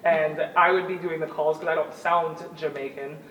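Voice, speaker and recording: A woman's voice: low voice, moderate pace, lo-fi sound, slight background noise. Woman's voice, distant and roomy. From New York. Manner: animated, laughs easily, earnest. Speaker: guest, former Olympic shot putter. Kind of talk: conversational interview storytelling. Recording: roomy, distant mic, faint ambience.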